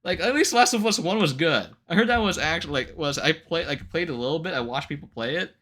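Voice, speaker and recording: A man chatting casually on a livestream, ranting to viewers in an incredulous, animated way with casual swearing. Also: on the high side, quick pace. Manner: laughs often between remarks. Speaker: live streamer.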